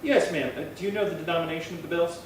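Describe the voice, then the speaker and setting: A man's voice: fairly high pitch, rapid-fire, close to an everyday voice. A man acting a polite, curious police detective in a 1950s-style radio crime drama, questioning plainly and deferentially. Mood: polite, inquiring. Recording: very echoey, distant, noisy room.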